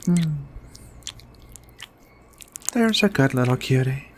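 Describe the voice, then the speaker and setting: A woman playing a shy, flirty, affectionate alien in a soft-spoken, hesitant ASMR roleplay. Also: rumbling low, lively intonation, exaggerated voice. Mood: tender, pleased. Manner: hesitant, bashful, murmuring with many pauses. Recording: quiet music behind the voice.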